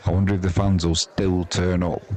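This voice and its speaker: A man's voice: low voice, level tone, brisk, darkish tone. A man giving casual live cricket commentary in a wry, teasing, chatty manner. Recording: crowd noise behind the voice.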